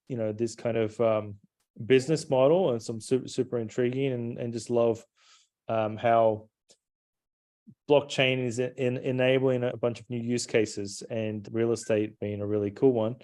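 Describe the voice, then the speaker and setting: A man hosting a podcast interview in a casual, halting way, repeating words as he forms questions. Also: mid-range voice, level tone, dark tone. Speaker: podcast host.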